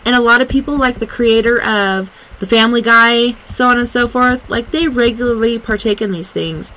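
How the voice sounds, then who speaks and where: A woman's voice: a little high, normal speed, sharp tone, rough recording. A woman from Arkansas hosting a radio talk show, chatting casually and rambling with plenty of "like" and "um.". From Arkansas, USA. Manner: rambling, lots of "like" and "um". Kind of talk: casual on-air chat.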